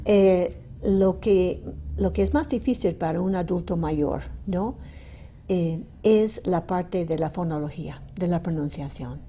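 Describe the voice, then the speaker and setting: A woman's voice: on the low side, a little slow, highs cut off, slight background noise. A middle-aged woman from the United States, a native English speaker with an American accent, answering conversationally as a radio interview guest. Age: 50s or older. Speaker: university language department director, interview guest. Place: radio studio.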